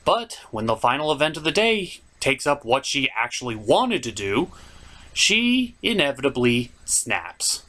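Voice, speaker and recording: A man's voice: medium pitch, big pitch swings, measured pace, pushed and bright. A man hosting a cartoon review, delivering scripted comedic narration with theatrical, sarcastic asides. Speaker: cartoon reviewer, show host.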